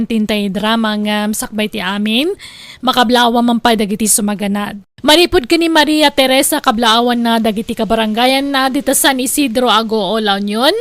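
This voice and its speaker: A woman's voice: on the high side, a bit bright, no echo. A woman hosting a drama program, reading out greetings and narrating a letter in a scripted storytelling style. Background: music.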